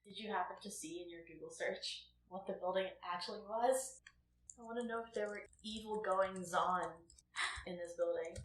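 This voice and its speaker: A woman's voice: medium pitch, normal speed, rough recording. Wry young woman bantering on a podcast. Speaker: podcast co-host reacting and asking questions. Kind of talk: casual banter.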